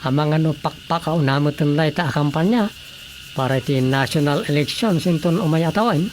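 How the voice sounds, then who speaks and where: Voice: mid-range voice, brisk, darkish tone, slight background noise, lightly acted. A performer with an Ilocano accent playing a questioning, doubtful villager in a radio drama comedy. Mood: doubtful, surprised.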